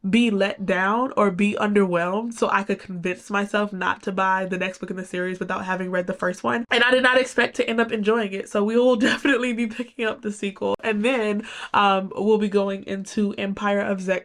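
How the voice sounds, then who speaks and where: A woman's voice: medium pitch, a bit bright. A young woman chatting casually about books she read, full of "like" and "um" fillers and a bit stuffy from a cold. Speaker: book vlogger. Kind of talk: casual book review chat.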